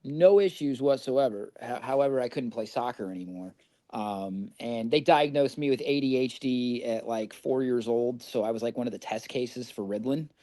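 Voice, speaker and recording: A man's voice: mid-range voice, moderate pace, warm tone, no echo. A middle-aged man from Indiana, a podcast guest telling his recovery story in a candid, rambling way and swearing freely.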